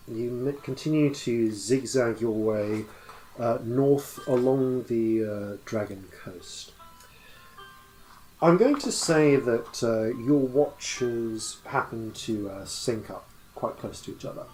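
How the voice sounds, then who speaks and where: A man narrating a tabletop game as game master, improvising in a halting way as he thinks aloud. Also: mid-range voice, darkish tone, faint music behind, low-quality recording.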